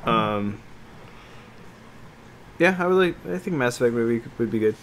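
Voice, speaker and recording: A man co-hosting a podcast in casual chat, talking like an excitable fan who swears freely. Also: mid-range voice, normal speed, a little hiss or hum. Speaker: podcast co-host.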